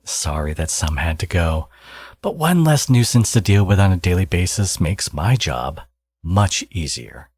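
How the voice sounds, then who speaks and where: Casting: smug villain dad for audio roleplay, male actor, low-pitched, sardonic and teasing, with swooping, expressive delivery. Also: measured pace, husky, no echo, heavily acted. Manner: teasing, sardonic, drawling threats. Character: smug supervillain man, teasing ex-enemy and doting father. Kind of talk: ASMR audio roleplay, intimate acted monologue.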